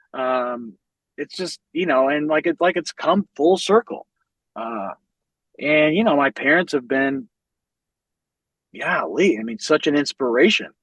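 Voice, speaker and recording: A man in his forties from the American Midwest tells family stories as a podcast guest. His voice sits a bit high for a man and sounds close and dry. He talks in a lively, loose way, swears freely and laughs through his stories. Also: no room sound, rough recording. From United States, Northwest Indiana. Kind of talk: casual storytelling conversation.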